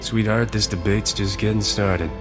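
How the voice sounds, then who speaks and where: Game casting: actor as roguish romantic male smuggler, low voice, cocky and suave, score underneath. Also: fairly even intonation, normal speed, over music, natural voice. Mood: suave, flirtatious. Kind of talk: video game cutscene acting.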